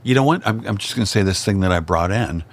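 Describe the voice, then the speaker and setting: Deep, gravelly man, wry podcast guest. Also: animated, fast, dry recording. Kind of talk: casual chat.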